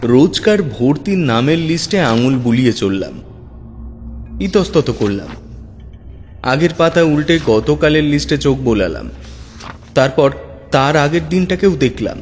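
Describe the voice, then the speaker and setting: A man narrating a first-person horror story in a measured, suspenseful manner. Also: on the high side, animated, a little mellow. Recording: long hall reverb with music. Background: faint background music.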